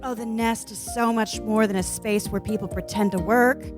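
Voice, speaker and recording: A woman's voice: mid-range voice, fairly even intonation, normal speed, faint music behind, close to an everyday voice. A woman playing a slick, smug startup chief with polished sales patter in a comic radio play. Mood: salesy. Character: slick, smug female startup CEO pitching her club.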